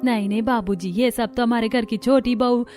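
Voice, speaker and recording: A woman's voice: fairly high pitch, steady tone, normal speed, a little mellow, soft background music, natural voice. A woman voicing a humble, grateful housemaid in a cartoon story. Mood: modest. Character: humble, grateful housemaid praising her mistress's daughter-in-law.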